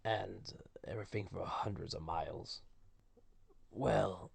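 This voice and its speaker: Male actor as ancient banished entity, deep and husky, halting formal speech, gently condescending menace, intimate audio roleplay. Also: no room sound, full character voice. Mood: grave, explaining. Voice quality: gravelly, husky, often dropping to a whisper. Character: ancient banished villain entity, wounded, soft-spoken and menacing. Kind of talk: intimate scripted audio roleplay addressed to listener.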